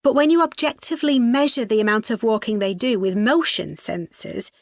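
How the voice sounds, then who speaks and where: A woman's voice: a little high, brisk, no echo, clean studio sound, lightly acted. A woman playing a female university student in a scripted educational dialogue. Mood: studious, matter-of-fact. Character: female university student discussing a joint presentation.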